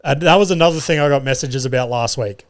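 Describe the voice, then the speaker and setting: A young man rambles through stories on his podcast, swearing freely and chuckling at his own punchlines. His voice sits at an everyday middle pitch, recorded in a studio. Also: fast talker. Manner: loose and sweary, laughs at his own jokes. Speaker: podcast host and comedian. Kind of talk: casual solo podcast storytelling.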